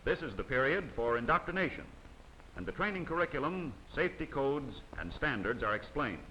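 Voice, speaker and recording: A man narrating an old instructional film in a formal, measured way, his voice bright and lively in pitch. The soundtrack carries a faint hiss from an old film print. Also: rough recording. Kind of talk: scripted documentary narration.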